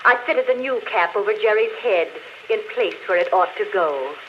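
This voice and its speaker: An actress playing an unrepentant murderess confessing in an intimate, matter-of-fact way, in 1940s radio drama style. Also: female voice, mid-range voice, expressive, moderate pace, natural voice. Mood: calm, unrepentant. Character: murderess confessing on a phonograph record, coolly unrepentant. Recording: dull, noisy old radio recording.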